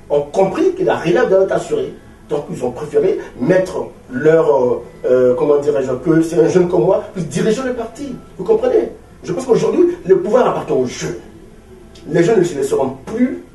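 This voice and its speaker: A man's voice: a little high, very animated, moderate pace, warm tone. A man in his forties with an Ivorian French accent, speaking as a political leader in an interview, emphatic and rhetorical with repeated phrases. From Ivory Coast. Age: 40s. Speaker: opposition political party leader being interviewed. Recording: roomy, distant mic with faint noise.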